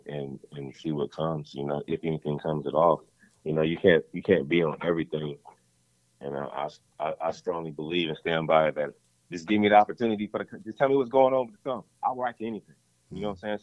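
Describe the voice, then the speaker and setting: A man's voice: low-pitched, dry recording. A man, a rapper, talking casually in an interview, rambling and often repeating "you know what I'm saying.". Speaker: rapper guest. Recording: dull lo-fi dry sound.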